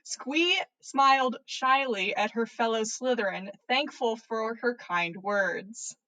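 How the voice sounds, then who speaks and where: A woman's voice: fairly high pitch, slow pace, bright, forceful tone, rough recording. A woman reading fan fiction aloud on a livestream in a playful, theatrical way. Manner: theatrical, playful, stumbles over words and swears. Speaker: podcast host reading listener fan fiction. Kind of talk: animated story reading on a livestream.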